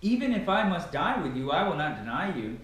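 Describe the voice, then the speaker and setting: Man playing earnest, insistent Peter, raised pitch, echoing. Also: sing-song, fairly quick, fairly bright tone, far from the mic. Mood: earnest insistence. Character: Peter, earnest loyal male disciple, insistent. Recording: heavily echoing room.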